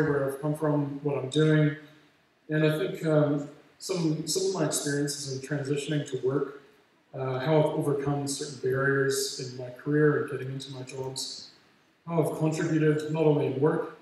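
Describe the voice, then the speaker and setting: A man's voice: on the high side, fairly even intonation, moderate pace, darkish tone. A man around forty with a Canadian accent gives an informal, self-effacing graduation speech in a large hall, speaking hesitantly. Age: late 30s to early 40s. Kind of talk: informal motivational speech with personal story. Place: large hall with a big audience. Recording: very echoey hall, distant, faint noise.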